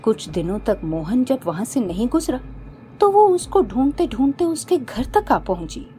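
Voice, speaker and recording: A woman's voice: a little high, sing-song, normal speed, mellow, slight background noise. A woman storyteller reading a scripted horror-comedy tale as narrator. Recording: background music.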